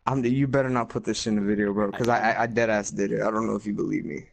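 A man's voice: on the low side, level tone, quick pace, gravelly. A young man in casual, crude, slangy chat with friends while gaming, joking around as he streams. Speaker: online gamer, streamer.

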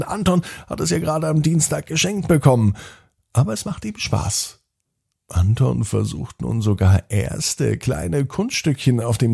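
A man telling a children's bedtime story in a slow, soothing, softly spoken way. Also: medium pitch, creaky, slightly rough. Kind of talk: gentle bedtime story narration.

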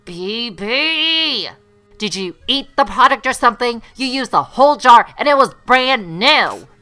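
A woman acts a haughty, bratty teenage cartoon girl. The tone is forceful and bright, with indignant, snooty phrasing. Faint music plays behind. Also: on the high side, lively intonation, measured pace. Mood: outraged. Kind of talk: comic dub cartoon acting.

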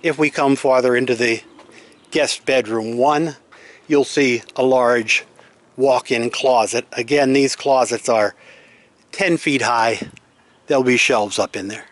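Male, mid-pitched, plain explanatory tour guide delivery, unscripted, recorded on a handheld camera outdoors. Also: unhurried, fairly bright tone, no room sound. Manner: matter-of-fact, explanatory. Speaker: homeowner giving a house tour. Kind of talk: unscripted walk-through tour narration. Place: outdoors in an open timber-framed house under construction. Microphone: handheld camera, held by the speaker.

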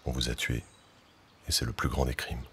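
A man reading a text aloud in a slow, grave, declamatory way. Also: a bass voice, completely flat delivery, sharp tone, slight background noise. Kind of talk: solemn reading.

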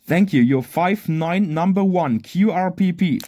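A man's voice: high voice, expressive, measured pace, mellow, slight background noise. A man working amateur radio contest exchanges outdoors, repeating short clipped phrases and callsigns in phonetics. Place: outdoors at a garden picnic table.

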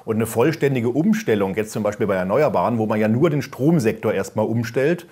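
A man's voice: on the low side, lively intonation, a little fast, dark tone, slightly rough. A man speaking as a guest on a panel, explaining his points in a matter-of-fact way. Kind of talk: discussion panel.

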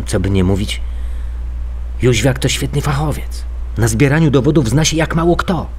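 An actor playing a weary, diplomatic police detective in a naturalistic radio crime drama, speaking haltingly. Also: male voice, medium pitch, expressive, slightly rough. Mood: deferential, careful. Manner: hesitant, measured, halting.

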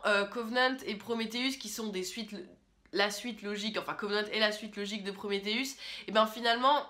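A young woman talking casually and with strong opinions as she reviews films into a camera in a small room, often pausing on fillers. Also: on the high side, bright, forceful tone. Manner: chatty, opinionated, with frequent fillers. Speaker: film critic host. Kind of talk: casual film review vlog.

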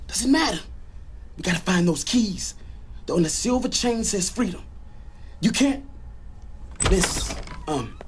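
An actor playing a jittery, paranoid American radio disc jockey in a video game, with an urgent, stammering delivery. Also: male voice, sits high, lively intonation, a little slow, long pauses, a little hiss or hum. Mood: uneasy.

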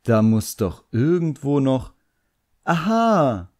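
A man's voice: medium pitch, big pitch swings, darkish tone, voice pushed up. A man voicing a clever, defiant boy, a magician's apprentice, in an audiobook. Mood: hesitant, scheming. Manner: hesitant, drawn-out threats.

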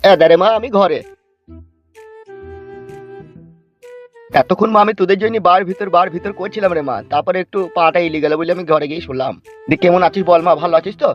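A man plays a jovial older village father-in-law from a comedy cartoon. His folksy, indulgent talk races along at a slightly high pitch for a man, on a dull, lo-fi recording. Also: racing, soft background music, lightly acted. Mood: affectionate.

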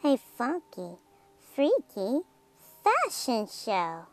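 Slow, animated woman reading a picture book. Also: on the high side. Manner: dramatic, theatrical delivery with drawn-out words and hushed phrases. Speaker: children's book read-aloud host. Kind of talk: expressive read-aloud of a rhyming picture book. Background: music.

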